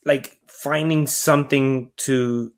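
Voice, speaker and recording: A man from Puerto Rico talks casually as a podcast guest. His pitch is average, and his phrasing is loose and informal, feeling its way through each thought. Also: fairly even intonation, slow. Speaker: podcast guest, reptile keeper. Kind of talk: casual chat.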